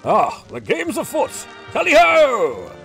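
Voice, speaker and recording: A man's voice: extremely high pitch, wildly swooping pitch, slow, gravelly, a bit bright, faint music behind, heavily acted. A man playing a hearty male cleric and healer who chuckles and speaks with jaunty exclamations. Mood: jovial. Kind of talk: audio drama acting.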